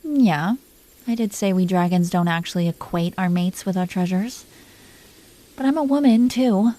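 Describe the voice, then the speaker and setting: Mellow, teasing dragon queen played by a woman. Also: lowish voice, normal speed, natural voice, poor audio. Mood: teasing, affectionate, then playful, possessive. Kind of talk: intimate romantic roleplay audio.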